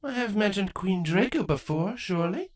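A woman voicing a stern changeling queen and mother in a storybook reading, measured, formal and commanding. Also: medium pitch, animated, voice pushed up, close to an everyday voice.

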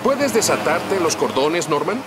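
Lively, high-voiced cartoon hero fireman. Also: a little slow. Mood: in command. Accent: Latin American Spanish. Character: calm, friendly, capable heroic male firefighter. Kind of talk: animated cartoon dub acting. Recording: loud music behind.

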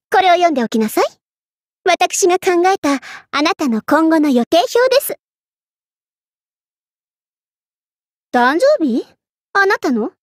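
Young queen, very high girlish pitch, imperious, vain, easily flustered tsundere, anime game, dry close sound. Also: no room sound. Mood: bossy. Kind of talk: Japanese anime game character voice acting.

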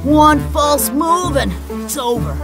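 An actor voicing an excitable, reckless pizza-slice mountaineer in a cartoon, melodramatic and full of exclamations. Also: high voice, takes it slowly, over music, heavily acted. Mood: grandly dramatic. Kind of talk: cartoon character acting.

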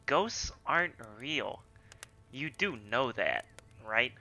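A woman's voice: very deep voice, big pitch swings, slow, vocal fry, bright, forceful tone, a little hiss or hum, full character voice. A woman playing a scornful skeptic, incredulous and dismissive, in a comic radio play.

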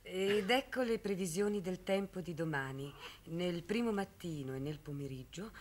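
A woman's voice: lowish voice, normal speed, slight background noise, natural voice. A woman playing a formal television weather announcer, reading a scripted forecast in an old series.

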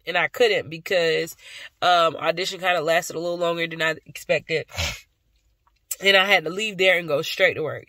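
A woman's voice: lowish voice, sharp tone, no room sound. A tired young woman with a stuffy, congested nose, chatting casually to camera on a phone in a car. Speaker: vlogger. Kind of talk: casual vlog chat to camera. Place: inside a car at night. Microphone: phone at arm's length.

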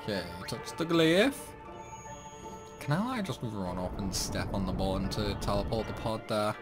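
Casting: young male gaming host, relaxed chatty commentary, faint game music underneath. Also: on the low side, normal speed. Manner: chatty, self-deprecating, exclaims at mistakes. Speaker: gameplay channel host.

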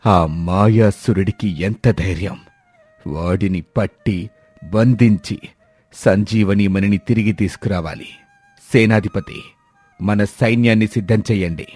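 A man voicing a worried, angry king who speaks slowly and commands gravely in a storybook tale. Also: lowish voice, a little mellow, no echo. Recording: dry, faint music behind.

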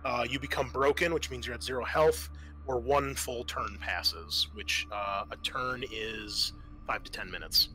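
Bright-voiced man as sweary game master. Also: mid-range voice, measured pace, rough recording. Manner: casual, sweary. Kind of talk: running a tabletop roleplaying game.

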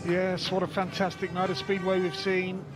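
A man as lead sports commentator, calling results briskly and handing over to reporters. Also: high voice, monotone, music in the background.

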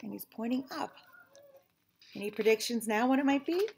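A woman's voice: on the high side, expressive, moderate pace, vocal fry, darkish tone, breathy edge. A middle-aged woman hosting a picture-book read-aloud, chatting to young listeners. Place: small indoor room with shelves.

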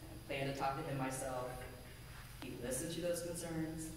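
A woman minister giving a measured, earnest sermon from a pulpit in a church sanctuary, with a pulpit microphone and a distant camera. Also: low voice, steady tone, noisy background. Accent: American. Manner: measured, deliberate, earnest preaching with emphatic lists. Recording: echoey sanctuary from far off.

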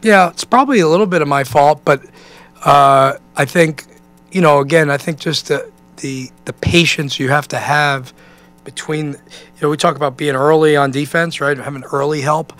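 An American man in his forties, a basketball coach answering press questions in a halting, conversational way, often saying "you know.". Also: a little high, a bit bright. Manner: halting, thinking aloud, peppered with 'you know'. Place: indoor press conference room. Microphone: microphone on a stand at a table.

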